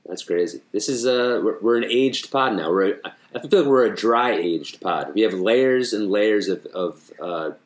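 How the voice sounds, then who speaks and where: A man's voice: fairly low pitch, lively intonation, normal speed, few pauses. A man in his thirties, a comedian co-hosting a podcast, chatting playfully and riffing with puns. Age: 30s. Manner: playful, riffs and makes puns. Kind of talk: casual podcast chat. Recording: echoey room.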